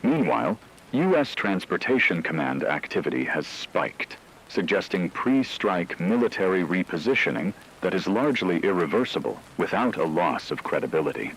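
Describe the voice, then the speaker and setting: A man playing a grave radio news anchor, delivering a scripted emergency war broadcast in a measured, solemn, analytical manner. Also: low-pitched, sing-song, fairly bright tone, a little hiss or hum, full character voice, low-quality recording. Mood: grave, urgent.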